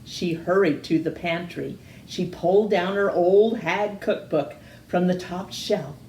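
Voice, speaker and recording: A woman reading a picture book aloud to children in an expressive storytelling manner. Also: on the low side, a little slow, a little hiss or hum. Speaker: storytime reader. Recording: echoey room.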